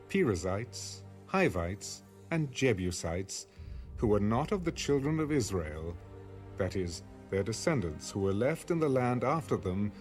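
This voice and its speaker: A man's voice: mid-range voice, a little mellow. A man narrating scripture in a scripted, dramatic reading style. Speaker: audio Bible narrator. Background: music.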